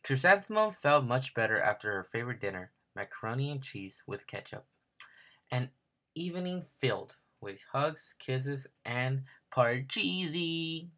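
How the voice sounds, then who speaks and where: Guy reading a kids picture book, really animated, average pitch, dramatic emphasis. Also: measured pace. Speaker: storybook reader. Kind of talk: expressive children's story narration.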